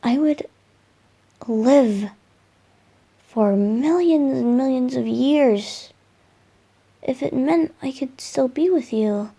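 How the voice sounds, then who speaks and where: A gentle, boyish young spirit in love, played by a woman, with a high, mellow voice. He murmurs softly and hesitantly, in the intimate style of a romantic ASMR roleplay. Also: exaggerated voice. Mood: wistful, devoted. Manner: soft, tender, breathy murmurs with hesitant pauses.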